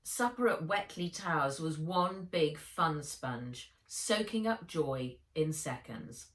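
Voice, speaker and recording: A woman reading her own children's novel aloud as narrator, in measured, expressive storytelling. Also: sits low. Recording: roomy echo, distant microphone.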